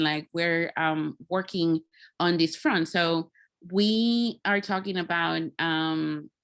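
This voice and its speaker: A woman giving an informal online presentation as a guest speaker, thoughtful and halting, with many small filler phrases. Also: lowish voice, a bit bright. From Puerto Rico. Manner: halting, thoughtful, peppered with "like" and "you know". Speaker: guest speaker, climate scientist. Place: video call.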